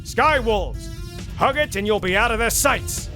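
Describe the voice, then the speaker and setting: Man acting high-voiced, gung-ho American squadron leader. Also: lively intonation, a little slow. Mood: rousing, urgent. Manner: rousing, slangy, commanding. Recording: loud music behind.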